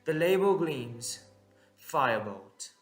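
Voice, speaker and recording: A man narrating a scripted audio drama in a measured, dramatic way with deliberate pauses. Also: mid-range voice, animated, faint music behind.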